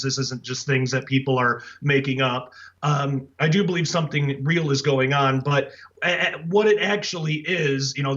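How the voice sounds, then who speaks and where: A man speaking as a guest author, hoarse and raspy after the flu, earnest and full of fillers and restarts. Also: a little high, fairly bright tone. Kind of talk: casual interview talk. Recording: dull lo-fi audio.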